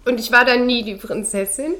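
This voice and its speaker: A woman with a bright, crisp voice pitched a little high trades casual banter as a co-host. She teases with quick questions, then settles into thoughtful points of her own. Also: fairly even intonation. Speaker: podcast co-host. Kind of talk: casual chat.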